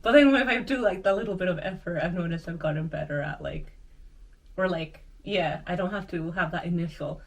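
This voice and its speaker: Young woman guest, native Spanish speaker, casual and rambling with fillers, a bit low, mic at a distance. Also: fairly quick. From Finland and Peru, grew up in Mexico. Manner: relaxed, many "like" fillers, laughs easily. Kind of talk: casual chat. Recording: recorded from a distance.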